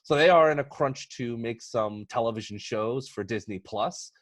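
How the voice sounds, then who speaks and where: A man's voice: medium pitch, normal speed, no echo. Guy hosting a casual podcast, jokey and rambly, mid voice, really dry sound. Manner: jokey, rambling, stalling with asides. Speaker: podcast host. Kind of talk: casual chat, introducing topics and pitches.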